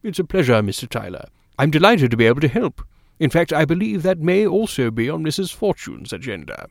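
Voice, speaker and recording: A man's voice: a little high, very animated, brisk, creaky, no room sound, clean studio sound, voice pushed up. A man voicing a kindly, courteous doctor in an audiobook, affable and reassuring in manner. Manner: affable, polite. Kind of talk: audiobook character dialogue.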